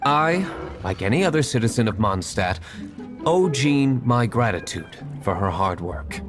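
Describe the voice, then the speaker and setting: Casting: male actor for stern, reserved game nobleman, formal delivery, mid-pitched with animated contours, music behind. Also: unhurried, natural voice. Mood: grudging. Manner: formal, reluctant. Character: Diluc, aloof, reserved, stern young gentleman. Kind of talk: video game voice acting.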